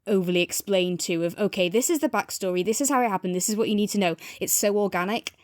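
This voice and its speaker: A woman's voice: mid-range voice, quick pace, dry recording, clean studio sound. A woman chatting casually and thoughtfully as a podcast co-host in a review discussion.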